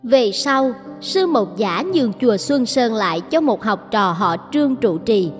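A woman's voice: fairly high pitch, lively intonation, unhurried, faint music behind. A woman narrator reading a scripted story. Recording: long hall reverb.

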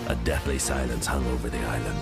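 An extremely deep-voiced man narrates a scripted adventure with dramatic suspense. His voice has a gravelly creak, and loud music runs underneath. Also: level tone, fast talker.

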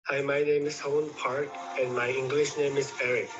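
A man's voice: mid-range voice, level tone, normal speed, dull audio, faint music behind. An eighteen-year-old man from Korea giving a scripted student video message, stumbling a little. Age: teens.

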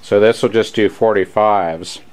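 A man's voice: on the low side, fairly even intonation, a little slow, smooth. A man giving a casual, plain-spoken repair demonstration, rambling along unscripted as he presents. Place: small shop, indoors. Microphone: handheld camera, operator behind it.

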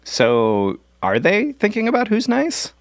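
A man's voice: high-pitched, wildly swooping pitch, slow, no echo, studio quality. A man co-hosting a scripted children's podcast, inquisitive and jokey in the way he talks. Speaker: podcast co-host. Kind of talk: scripted kids' podcast banter. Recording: guitar music behind the voice.

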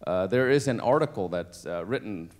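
A middle-aged man from Cambodia, a native Cambodian speaker, telling his life story on a church stage into a handheld mic, halting with many fillers and restarts. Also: on the low side, lively intonation, darkish tone. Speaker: interview guest. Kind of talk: personal testimony on stage.

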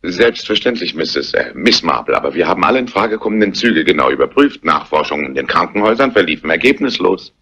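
An actor playing an awkward, evasive police inspector in a crime drama, halting and officially polite. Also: male voice, deep voice, big pitch swings, pushed and bright, slightly rough, full character voice. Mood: defensive, formal. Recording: muffled old soundtrack.

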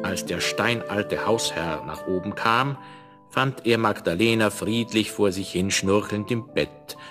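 A male storyteller reads a scripted fairy tale. His voice sits a little low, and his telling is measured and expressive. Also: music in the background. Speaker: narrator.

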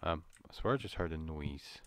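A man with a slightly croaky voice, rambling and jumpy as he comments on a horror game. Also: extremely low pitch, animated, moderate pace, a bit bright, dry recording. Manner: rambling, jumpy, muttering to himself. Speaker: gaming channel host. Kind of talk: casual horror gameplay commentary.

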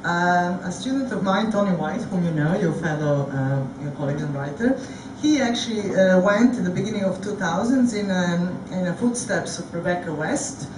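A woman's voice: on the low side, expressive, normal speed, hardly any pauses, distant mic, noisy background. A woman moderating a panel, speaking hesitantly with many fillers. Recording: echoey hall recorded from afar.

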